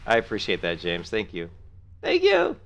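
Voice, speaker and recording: A middle-aged man with a sore throat chats casually with viewers as he streams a game. His voice sits low and deep. He rambles in a friendly way and keeps thanking people. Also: huge pitch swings, moderate pace, vocal fry, fairly bright tone. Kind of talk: casual chat while gaming.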